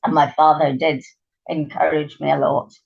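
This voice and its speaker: A middle-aged woman with a croaky, gravelly voice, a guest in a relaxed interview who pauses with ums as she thinks. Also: low-pitched. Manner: thoughtful, trails off with ums. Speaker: interview guest, writer and actress. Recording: dull lo-fi remote audio.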